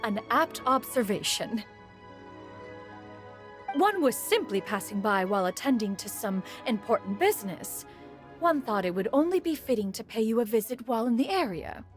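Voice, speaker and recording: A proud, ancient crane spirit, voiced by a woman speaks in a formal, archaic way, always calling herself "one". Her voice sits somewhat high and moves with lively rises and falls, and soft game music plays behind her. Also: normal speed, natural voice. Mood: stately. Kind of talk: video game voice acting.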